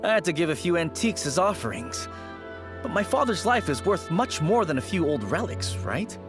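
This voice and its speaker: A man's voice: a little high, very animated, moderate pace, music in the background. An actor playing an earnest young man who trusts a self-styled sage to heal his sick father. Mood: hopeful.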